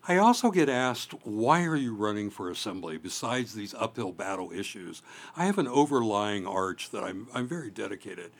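Low-voiced earnest male candidate. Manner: earnest, emphatic with loud pushes. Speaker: local election candidate. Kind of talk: candidate forum answer.

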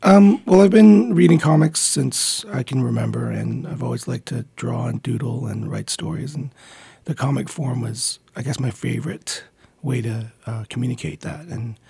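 A man's voice: mid-range voice, moderate pace, vocal fry, warm tone, slightly rough. A man around forty, born in the Philippines and raised in Montreal, talking as a guest in a radio studio interview, friendly and hesitant with many ums. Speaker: guest, comic book writer.

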